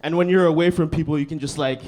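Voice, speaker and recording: A young man from Bangladesh doing a stand-up set on a comedy club stage, talking in a laid-back, deadpan way with lots of "you know what I mean". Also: a little high, fast.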